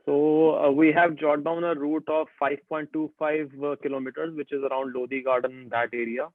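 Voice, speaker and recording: A man's voice: on the high side, flat delivery, moderate pace, dry recording. A man giving practical running advice on a panel video call. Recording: tinny phone-like call audio.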